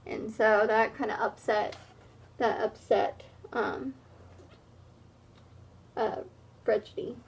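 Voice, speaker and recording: Female read-aloud teacher, high-pitched and unhurried, expressive narration with plain explanatory asides for children. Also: vocal fry, husky.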